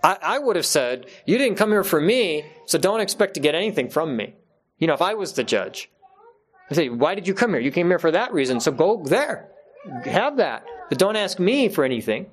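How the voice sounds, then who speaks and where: A man's voice: a little high, sing-song, a little fast. A man giving an unscripted religious talk to his congregation, earnest and emphatic, repeating key phrases for weight.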